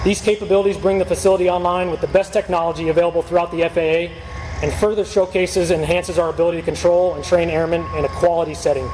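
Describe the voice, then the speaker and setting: A military officer, a man with a notably high voice, reads a prepared ceremonial address. He moves along quickly. His words ring through a big hangar over clear background noise. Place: large open hangar facing airfield. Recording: echoing hangar PA with steady ambient noise.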